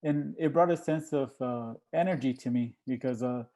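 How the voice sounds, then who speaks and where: A man giving heartfelt, informal remarks to a group in a halting, reflective way. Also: mid-range voice, dark tone. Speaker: monologue writer and panel participant.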